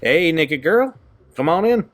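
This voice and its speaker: Man acting as kind, playful young guy, animated. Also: fairly high pitch, measured pace. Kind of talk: audio drama acting.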